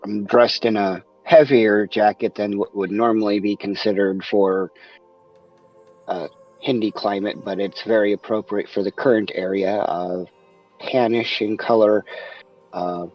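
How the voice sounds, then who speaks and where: Hesitant low man on a dull mic. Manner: hesitant, with many fillers. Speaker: roleplay player. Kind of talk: casual in-character roleplay.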